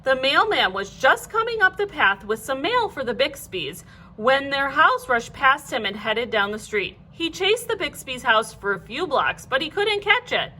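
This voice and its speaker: A woman reading a children's storybook aloud like a teacher, in an animated, clearly phrased way. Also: sits high, moderate pace, slight background noise.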